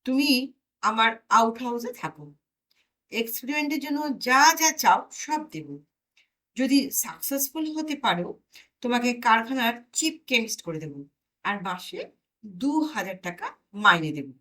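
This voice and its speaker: Lady doing a genial shrewd old orchard boss, loud and punchy, high sharp voice, funny audio story. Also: animated, a little slow, pauses often, exaggerated voice, rough recording. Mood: encouraging. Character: genial, shrewd older male Bhutanese orchard owner.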